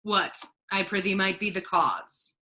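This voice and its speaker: A woman's voice: medium pitch, unhurried, bright, forceful tone. A woman acting a dignified, indignant friar in a staged Shakespeare reading, speaking in a formal, righteous manner. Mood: polite, incredulous. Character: Duke disguised as a male friar, dignified, indignant.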